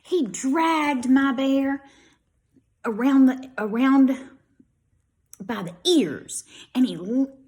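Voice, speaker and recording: A woman's voice: high voice, drawn-out words, pauses often, darkish tone. A woman with an American accent, a kindergarten teacher reading a story aloud to young children and explaining it simply as she goes.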